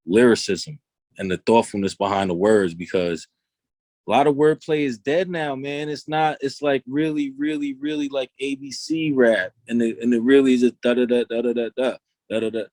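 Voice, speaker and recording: A man's voice: fairly low pitch, darkish tone, no room sound. A young man from Long Island, talking loosely in an interview and often saying "you know what I'm saying". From Long Island, New York. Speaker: rapper guest. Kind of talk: casual interview talk.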